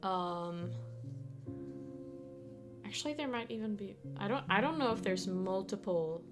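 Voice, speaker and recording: A woman's voice: medium pitch, expressive, unhurried. Giggly Danish woman streaming over guitar music. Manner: giggly, rambling with restarts. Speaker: streamer chatting with viewers. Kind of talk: casual live-stream chat.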